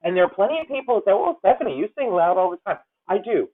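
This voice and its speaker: A woman in her fifties coaches a singer over a video call, and her voice comes through thin and phone-like. She swings her pitch widely, sometimes singing short phrases to show what she means, then drops back to halting, searching talk. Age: 50s. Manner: animated, sings demonstration phrases, halting restarts. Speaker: voice teacher leading a masterclass.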